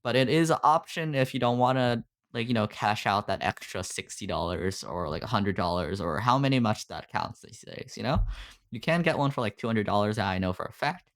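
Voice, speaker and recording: A man's voice: fairly low pitch, animated, fairly quick. A man mentoring on a call, walking through his audio setup in a loose, filler-heavy way and asking "right?" after nearly every point, speaking into a desktop condenser mic at home. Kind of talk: casual screen-share tutorial on a call. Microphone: desktop USB condenser mic.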